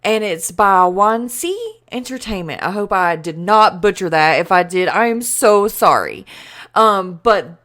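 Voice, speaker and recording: A young woman hosts a casual reaction video, talking slowly and unhurriedly. Her pitch swings a lot as she exclaims and chats, dropping fillers along the way. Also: expressive, fairly bright tone.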